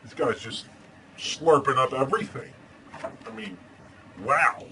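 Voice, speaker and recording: Superhero storyteller, played by a man: earnest and deadpan, bright voice, casual hesitant delivery, faintly noisy homemade recording. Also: medium pitch, slow. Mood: chatty, curious. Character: Batman, earnest masked superhero reading storybooks aloud. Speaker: storyteller and host. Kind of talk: casual chat and storybook read-aloud.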